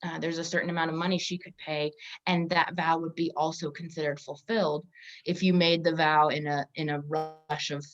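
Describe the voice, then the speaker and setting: A woman with a moderately low voice hosts a Bible discussion in a conversational teaching style. Her phrasing halts and corrects itself as she thinks aloud. The recording is a little muffled and lo-fi. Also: level tone, normal speed, faint music behind. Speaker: host of a Bible discussion podcast. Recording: muffled, dull lo-fi audio.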